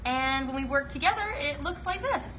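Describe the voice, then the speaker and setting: A woman's voice: high voice, lively intonation, moderate pace, pushed and bright. A woman giving an informal demonstration as a puppeteer. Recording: distant mic, faint background noise.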